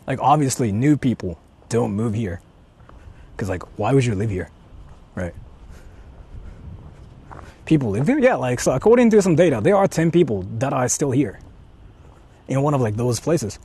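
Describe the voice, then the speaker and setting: A young man, walking around outdoors and chatting casually with livestream viewers, often speaking in a hushed, murmuring voice. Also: medium pitch, very animated, normal speed, long pauses, slightly rough. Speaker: vlogger streaming while exploring. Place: outdoors walking through an empty housing estate.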